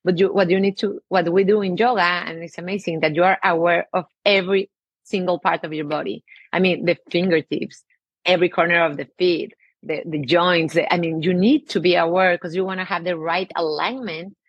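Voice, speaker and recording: A woman with a Mexican Spanish accent talks as a guest in a casual interview. She speaks gently and feels her way through her phrases. Her voice is low and breathy, and it is recorded close and dry. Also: fairly even intonation, fairly quick, dry recording, lo-fi sound. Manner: gentle and searching, many restarts and "I mean". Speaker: yoga teacher and podcast guest.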